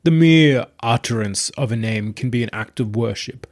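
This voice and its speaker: A man voicing an ancient god in a possessed body, gravelly and deep, with slow, pompous, courtly speech in a narrated story. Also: no echo, studio quality, lightly acted. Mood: smug, courtly.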